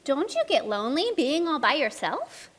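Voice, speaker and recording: A woman's voice: sits high, very animated, moderate pace. A woman playing a friendly, curious narrator in a children's puppet show, telling the story expressively and chatting with characters.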